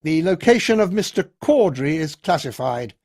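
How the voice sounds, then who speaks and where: A man's voice: fairly high pitch, very animated, moderate pace, darkish tone. A man voicing a tired but commanding army colonel, speaking in a clipped, authoritative way and raising his voice over a crowd. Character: commanding male army colonel, stern and weary.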